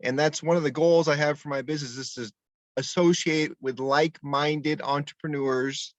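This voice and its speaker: Fairly high-voiced man on a muffled call. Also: fairly even intonation, normal speed, dry recording. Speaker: workshop participant. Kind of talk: self-introduction on a video call. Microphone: video call.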